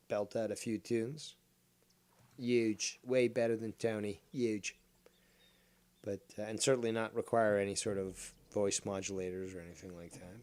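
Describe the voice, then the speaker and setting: A man's voice: lowish voice, darkish tone. A man livestreaming a game with rambling live commentary, theatrical and mock-villainous, crude and full of swearing. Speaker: livestreamer playing a fishing game.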